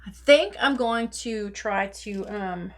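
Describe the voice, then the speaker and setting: A woman telling stories to children in an expressive, theatrical, deliberate way. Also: medium pitch. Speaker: storyteller reading to children. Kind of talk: expressive storybook reading in rhyme. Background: music.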